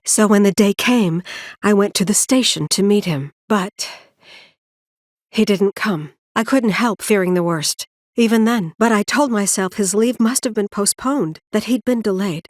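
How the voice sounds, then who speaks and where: A woman playing a weary, protective middle-aged mother in a video game, speaking in an earnest, measured, maternal way. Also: mid-range voice, no echo, studio quality, lightly acted. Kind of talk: naturalistic video game voice acting.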